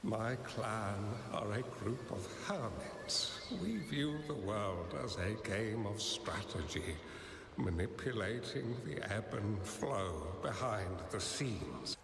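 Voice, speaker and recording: An actor playing a wise old male hermit strategist in a game cutscene, measured and gently reassuring. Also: on the low side, expressive, roomy sound, long reverb tail, background noise. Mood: calm, reflective. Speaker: game character.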